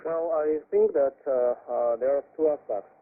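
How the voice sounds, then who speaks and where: A man speaking as a professor interviewed on radio, giving formal political analysis in a deliberate, hesitant way. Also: medium pitch. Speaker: expert guest, professor. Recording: tinny narrow-band shortwave radio.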